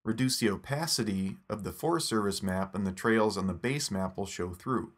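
A man narrating a scripted phone app tutorial in a steady, instructive step-by-step voice. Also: lowish voice, normal speed.

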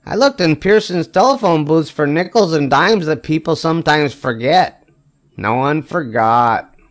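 An older man reads a children's story aloud in a slightly high-pitched voice. His delivery is expressive and emphatic. He lets the short punchlines stretch out with wry weight. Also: measured pace. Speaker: storybook reader. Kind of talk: expressive read-aloud of a children's picture book.

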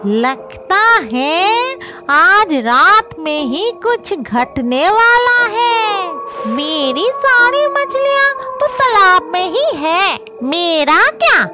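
A woman's voice: extremely high pitch, very animated, extremely slow, heavy background noise, heavily acted. A woman voicing a grumbling, greedy old fish witch in a cartoon, theatrically muttering to herself, with music behind her. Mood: brooding. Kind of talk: cartoon voice acting.

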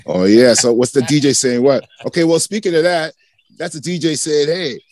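A man's voice: a little high, moderate pace, darkish tone, slight background noise. A man hosting a casual hip hop podcast over a video call, hyped and slangy, often talking over his guest. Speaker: podcast host. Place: home studio with posters behind.

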